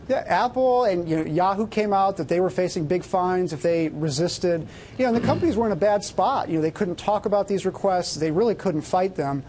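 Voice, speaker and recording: A man's voice: high-pitched, a little fast, hardly any pauses, a little mellow, slight background noise. A man giving off-the-cuff technology commentary on a broadcast panel, explaining things in a hedging, conversational way.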